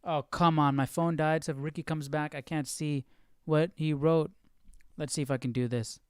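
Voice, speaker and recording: A man hosting a casual Bible study livestream, thinking aloud in an informal, halting way. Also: a little high, steady tone, darkish tone, smooth, no room sound, clean studio sound. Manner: informal, halting, muses to himself.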